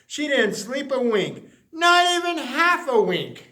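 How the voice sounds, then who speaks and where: High-voiced man reading a storybook, echoey room. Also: big pitch swings, sharp tone. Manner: dramatic, with drawn-out emphasis and repeated words. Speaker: story reader. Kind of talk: expressive children's storybook narration.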